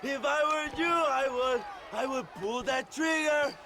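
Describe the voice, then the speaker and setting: A man's voice: very high voice, unhurried, a crowd in the background, noisy background. A man playing a cocky Mexican revolutionary in a video game, grandiose and taunting, with a Mexican accent. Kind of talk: dramatic video game acting.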